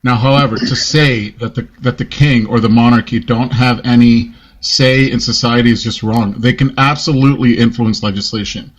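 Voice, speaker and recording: A man on a debate panel, cutting in to explain his view forcefully. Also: mid-range voice, steady tone, normal speed, few pauses, low-quality recording.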